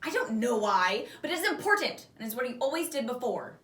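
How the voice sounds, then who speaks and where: Woman voicing a small, excitable storybook creature, echoey. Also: on the high side, a little fast. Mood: insistent. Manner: excitable, earnest, insistent. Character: small eager, earnest male fantasy creature in a tutu. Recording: roomy echo, distant microphone.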